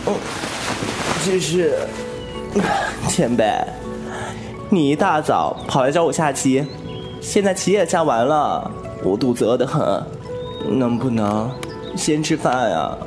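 An actor playing a frank, inquisitive, cheeky young swordsman in a wuxia audio drama, with an expressive, exclamatory delivery. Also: mid-range voice, slow, pauses often. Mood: curious, playful. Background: music.

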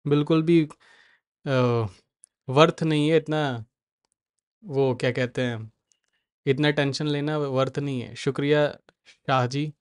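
A man's voice: fairly high pitch, fairly even intonation, slow, long pauses, clean tone, dry recording, studio quality. A man with an Indian accent hosting a live market stream, answering viewers by name in a mix of Hindi and English. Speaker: market analyst host.

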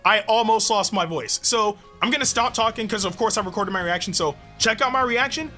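A young man hosting a gaming video, reacting to a trailer with hype, slang and yelling, speaking on a headset in a small home gaming room. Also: high voice, fairly quick, soft background music. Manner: hyped, yelling and laughing, slang-heavy. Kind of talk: excited gameplay reaction.

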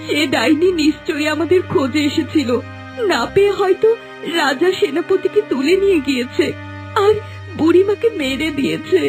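A young cartoon fairy-tale heroine, played by a woman, with an extremely high, girlish voice. She is gentle and polite with a plaintive edge, and music runs behind her. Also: expressive, normal speed. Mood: worried.

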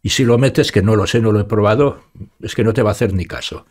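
A man in his sixties with an accent from Spain, giving an informal step-by-step software tutorial and talking each step through aloud into a close studio mic. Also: lowish voice, fast. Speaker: tutorial presenter. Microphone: studio microphone up close, with headphones.

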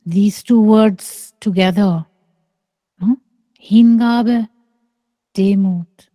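A middle-aged woman teaches slowly and deliberately, leaving long pauses between soft phrases. Her voice is mellow and dark. The sound is dry and close, over a video-call mic. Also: mid-range voice, no echo. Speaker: spiritual teacher. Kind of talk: slow contemplative spiritual teaching. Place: small room indoors. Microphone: close microphone on a video call.

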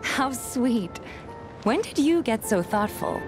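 A woman with an American accent playing a kind, caring young barkeeper in a video game, gentle and a little hesitant. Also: on the high side, very animated, slow pace, over music. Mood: welcoming. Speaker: game character actor.

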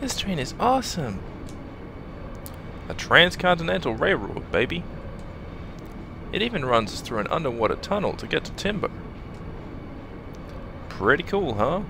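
A man voicing a brash, excitable young fighter. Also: fairly high pitch, measured pace, gravelly, long pauses, noisy background, full character voice. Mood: excited. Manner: enthusiastic, boastful.

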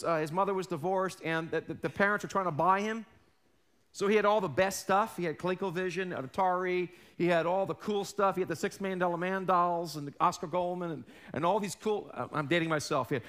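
A man in his forties preaching a sermon on a large church stage, losing his voice, animated and emphatic, swinging between loud and hushed and calling out questions to the congregation. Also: high voice. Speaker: pastor.

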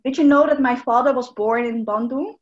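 A woman's voice: a little high, a little fast, dull audio. A woman answering hesitantly over a video call, using fillers. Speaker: conversation partner.

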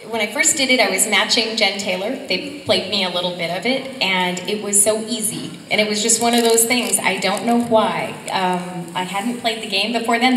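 A woman with a bright, clear voice, gushing and giggling as she talks on a convention stage. The voice carries through a big, echoing hall from a distant mic, with faint noise behind. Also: mid-range voice. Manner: warm-hearted, gushing, giggly. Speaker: voice actress on a convention panel. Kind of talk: casual panel talk. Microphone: table mic. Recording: echoey hall recorded from far off.

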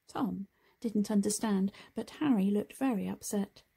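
A woman narrating a children's picture book, expressive and deliberate in her phrasing. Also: mid-range voice, creaky, slightly rough. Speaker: storybook reader. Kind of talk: scripted children's story narration.

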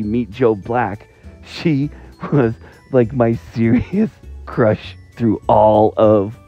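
A man leaving a rambling, excitable voicemail about movies, laughing between phrases. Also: medium pitch, dull audio. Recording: phone voicemail with faint music behind.

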